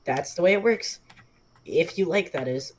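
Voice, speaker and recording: A man voicing a calm, wise male snake that talks slowly and matter-of-factly. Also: on the high side, slight background noise, close to an everyday voice. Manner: slow, measured, matter-of-fact.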